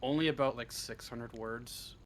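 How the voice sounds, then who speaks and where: Halting, casual man with mid-pitched voice. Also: fairly even intonation, slight background noise. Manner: halting, self-deprecating, casually sweary. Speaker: interview guest, script writer. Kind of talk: casual chat.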